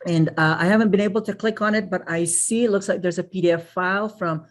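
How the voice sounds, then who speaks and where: A woman from the Philippines with a low voice hosts a webinar over a video call. She speaks in a casual, appreciative way, thanking people again and again and filling pauses with "uh" as she goes. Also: fairly even intonation. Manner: warm, grateful, many fillers and thank-yous. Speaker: webinar presenter. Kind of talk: live webinar wrap-up.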